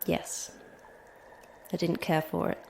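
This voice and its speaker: A woman's voice: slow pace, warm tone, a little hiss or hum, close to an everyday voice. A woman playing an injured, stubborn fighter in an audio drama, terse, dry and defiant. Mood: dry, strained. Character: injured, concussed, stubborn female fighter trapped by a monster.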